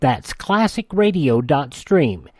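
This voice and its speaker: A man's voice: a little high, sing-song, takes it slowly, darkish tone, no echo, studio quality. A man doing scripted radio announcing in a polished, promotional manner.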